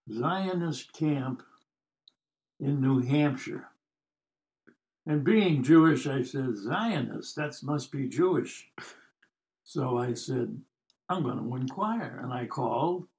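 An American man in his nineties telling stories casually, with a wry, joking, rambling manner. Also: fairly high pitch. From United States. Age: 90s. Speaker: podcast guest telling life stories. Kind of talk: casual storytelling.

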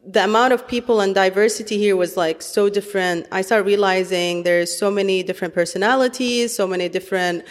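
A middle-aged woman from Lebanon who grew up in the UK and Kuwait, a podcast guest speaking in her own home. She talks softly and a little nervously, restarting her sentences. Her voice sits in the middle of the range, and the room rings with a long reverb. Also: mid-range voice, fairly even intonation, brisk, few pauses. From Lebanon, raised in the UK and Kuwait. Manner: soft-spoken, a little nervous, hesitant restarts. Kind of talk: casual podcast interview. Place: friend's home.

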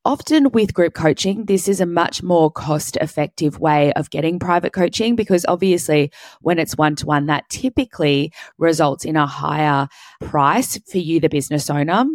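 A woman with a husky, hoarse voice, hosting a solo podcast and explaining business coaching step by step. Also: sits low, moderate pace, no room sound, clean studio sound. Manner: explains step by step in clear lists. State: hoarse, sore throat.

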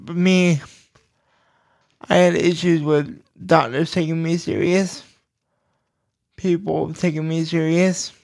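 Slow, halting man with a creaky voice. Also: fairly high pitch. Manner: halting, deliberate phrasing in short bursts. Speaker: podcast host. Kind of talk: personal talk to listeners.

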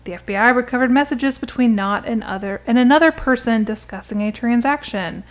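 A woman co-hosting a podcast in casual comedic chat, giggly with deadpan jokes, sometimes reading out news. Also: on the high side, fairly quick. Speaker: podcast co-host.

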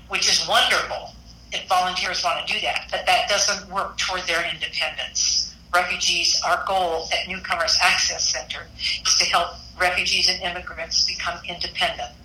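A woman answers interview questions conversationally on behalf of an aid center. Her voice is strikingly bright and forceful. The recording is roomy and phone-like, with faint noise behind her. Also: lowish voice. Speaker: refugee center representative being interviewed.

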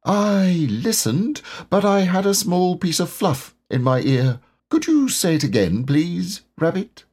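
A man voicing a dreamy, absent-minded bear in a storybook, speaking in a hesitant, vague, drawn-out way. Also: sits high, sing-song, a little mellow, pitched above the performer's own voice, heavily acted. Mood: dreamy, puzzled.

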